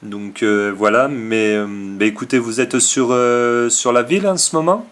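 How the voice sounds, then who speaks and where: A man's voice: mid-range voice, unhurried, fairly bright tone, close to an everyday voice. A man playing a broke, shady middle-aged father who sells off his son's games in a hesitant, wordy, confiding way on a prank call. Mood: hesitant, businesslike. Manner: hesitant, wordy, falsely candid.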